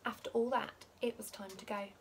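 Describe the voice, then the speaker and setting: A young woman reading a children's picture book aloud like a teacher, expressive and measured. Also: fairly high pitch, slight background noise. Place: living room by a fireplace.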